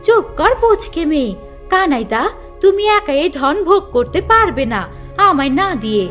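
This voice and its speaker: A woman's voice: very high-pitched, sing-song, normal speed, fairly bright tone, soft background music, heavily acted. A woman voicing a greedy, scheming village woman in a cartoon, scornful and exclamatory. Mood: scornful, demanding. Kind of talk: Bengali cartoon voice acting.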